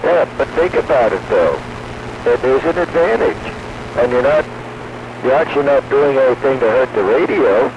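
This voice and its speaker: A male radio hobbyist in casual technical conversation over a two-way radio. His mid-pitched voice comes through dull and lo-fi, with clear noise around it. He explains patiently but insistently, often slipping into anecdote. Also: lively intonation, normal speed. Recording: noisy band-limited two-way radio audio.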